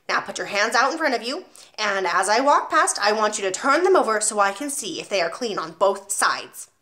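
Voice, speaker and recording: A woman's voice: mid-range voice, expressive, fairly quick. A woman reading aloud, voicing a huge, tyrannical headmistress who barks and bellows at children. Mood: scornful. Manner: barking, loud, contemptuous.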